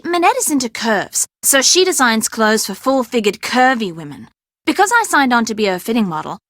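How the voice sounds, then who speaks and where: A woman's voice: on the high side, fairly bright tone, no room sound, clean studio sound. A woman playing a chatty, sassy young fashion model who likes to fib, in video game dialogue with a dramatic, emphatic delivery. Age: young adult.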